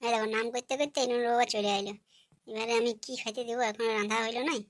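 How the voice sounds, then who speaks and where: A woman voicing a sharp-tongued, nagging village wife and mother in a comic cartoon. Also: on the high side, level tone, rapid-fire, no room sound, clean studio sound, natural voice. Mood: fretting. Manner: snappy, nagging.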